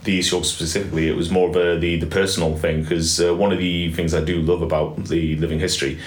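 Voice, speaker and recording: A man's voice: deep voice, little pitch movement, fast. A man who volunteers as a living history reenactor, talking earnestly and reflectively in a casual interview, with some restarts. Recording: echoey room.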